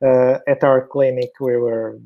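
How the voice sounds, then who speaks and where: A man's voice: medium pitch, level tone, unhurried, narrow, tinny audio. A man with a Portuguese accent giving a webinar presentation over a video call, hesitant, with many fillers and restarts. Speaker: fertility clinic doctor, webinar speaker.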